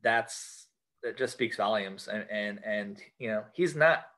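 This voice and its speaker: An American man chatting casually as a podcast guest, talking haltingly and often repeating and rephrasing his phrases. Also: fairly low pitch, bright, forceful tone. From United States. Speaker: podcast guest, sports card collector. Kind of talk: casual interview chat.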